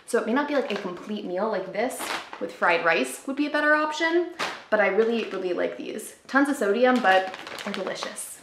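A woman's voice: mid-range voice, animated, moderate pace, a bit bright, echoey room, a little hiss or hum. A woman in her twenties chatting casually to camera in her living room, upbeat and full of "like" fillers, showing off groceries. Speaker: lifestyle vlogger. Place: living room at home, indoors.

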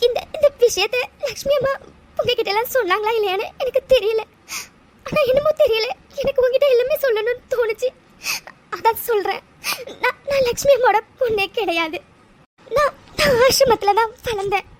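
An actress playing a young orphaned woman who talks through tears, halting and repeating her words. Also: very high voice, a little mellow, slight background noise. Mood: tearful. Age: young adult. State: crying. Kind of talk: romantic drama acting.

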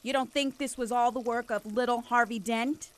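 A woman's voice: on the high side, fairly even intonation, normal speed, close to an everyday voice. A woman playing a bitter, grieving mother from a crime family in a noir radio drama, emphatic and accusing. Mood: bitter anger.

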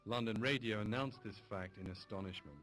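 A man with a low voice gives measured, formal documentary narration. Music plays clearly under his voice throughout. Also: fairly bright tone. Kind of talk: scripted narration. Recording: documentary audio over music.

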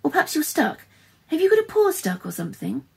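A woman's voice: sits high, huge pitch swings, brisk, creaky, pitched above the performer's own voice, full character voice. A woman voicing a brave, panicked young girl who pleads desperately in a storybook reading. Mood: worried pleading.

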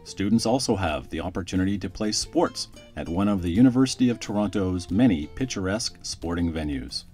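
A man reading a scripted promotional voice-over in a smooth, even delivery. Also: sits low, a little fast, faint music behind. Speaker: promo narrator.

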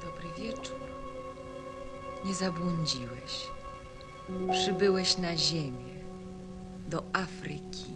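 A woman as a slow, enigmatic desert snake. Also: sits low. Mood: calm, mysterious. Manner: enigmatic, speaking in riddles. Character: cryptic, powerful female desert snake, pitying. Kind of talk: radio play acting. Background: music.

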